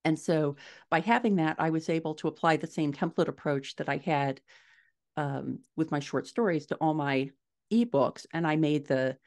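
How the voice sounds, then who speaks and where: A middle-aged woman answering interview questions conversationally, telling stories in an animated way on a video call from a room at home. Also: low-pitched, moderate pace. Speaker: podcast guest, indie author. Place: small furnished room at home, indoors.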